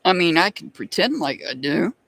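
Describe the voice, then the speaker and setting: A man with a noticeably high voice and a slight Southern accent talks casually to a live stream audience as he hosts tarot readings. He chats loosely, laughs easily and greets viewers one by one by name. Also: very animated, normal speed, fairly bright tone, no echo. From United States. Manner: chatty, laughs often, answers viewers by name.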